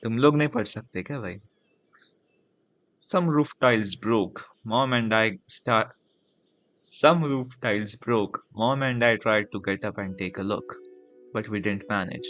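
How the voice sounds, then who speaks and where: A man's voice: fairly low pitch, fairly bright tone, muffled recording. A man with an Indian accent and native Hindi, reading game text aloud and reacting casually as he streams. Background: game music.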